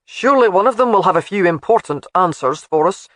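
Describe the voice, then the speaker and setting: A woman's voice: fairly low pitch, lively intonation, a little fast, no room sound, clean studio sound, natural voice. A woman voicing a commanding, protective laird in an audiobook who gives firm, clipped orders.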